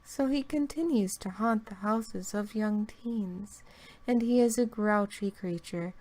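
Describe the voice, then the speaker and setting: A woman's voice: medium pitch, darkish tone. A woman, a guest on the channel, reading a rhyming creepypasta aloud and dropping into a whisper on some lines. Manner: slow, drawn-out delivery with whispered lines. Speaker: guest reader.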